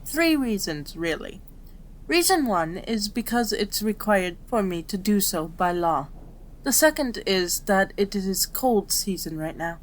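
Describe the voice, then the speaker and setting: A woman voicing a gentle, patient female kitsune doctor in an ASMR roleplay, with a soothing, polite, measured manner. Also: mid-range voice, slight background noise, natural voice. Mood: calm, reassuring.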